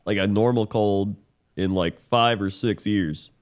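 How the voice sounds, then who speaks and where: A man's voice: lowish voice, measured pace. A man co-hosting a podcast, congested from a cold, telling a rambling story in casual chat with plenty of fillers. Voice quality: Muppet-like voice. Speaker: podcast co-host.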